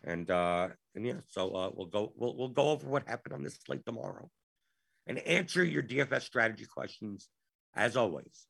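A man's voice: on the low side, very animated, moderate pace, gravelly, fairly bright tone. A man hosting a live Q&A stream, his voice worn out, talking in an exasperated way and repeating himself. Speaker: show host.

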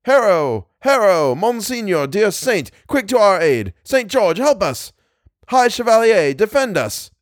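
A man's voice: high-pitched, big pitch swings, a little slow, no room sound, studio quality, voice pushed up. A man voicing a ghostly host of medieval English bowmen in a storybook, calling out fervent, archaic battle prayers. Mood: fervent pleading. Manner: fervent archaic war cries. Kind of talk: dramatised storybook reading.

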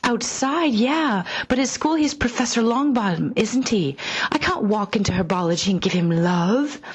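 A woman voicing an exasperated teenage schoolboy who rolls his eyes at his mother, speaking high and quickly. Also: expressive, husky.

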